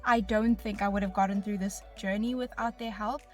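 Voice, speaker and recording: Woman, twenties, South African accent, medium pitch, graduate testimonial, faint background music. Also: steady tone, a little fast, a little mellow. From Bloemfontein, South Africa. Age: 20s. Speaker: recent engineering graduate. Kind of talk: personal testimonial.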